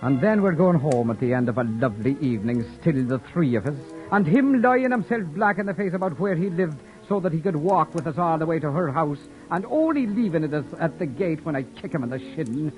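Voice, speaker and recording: A man acting a proud, sharp-tongued young wanderer who narrates his own tale in a 1940s radio drama, with a wry, sardonic telling. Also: fairly high pitch, animated, brisk, hardly any pauses, lo-fi sound, close to an everyday voice. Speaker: narrator and lead character. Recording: old radio broadcast with faint music.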